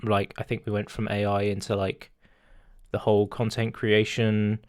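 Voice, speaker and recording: A young man in his twenties chatting casually as a podcast host, rambling through his thoughts with plenty of ums. His voice sits on the low side and the mic is dry and close. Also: monotone, moderate pace, smooth, dry recording. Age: 20s. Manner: rambling, lots of fillers and laughter.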